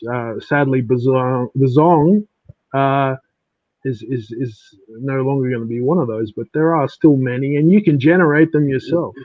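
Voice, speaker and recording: A man in casual podcast chat, speaking in a halting but encouraging way. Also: medium pitch, fairly even intonation, dry recording, highs cut off.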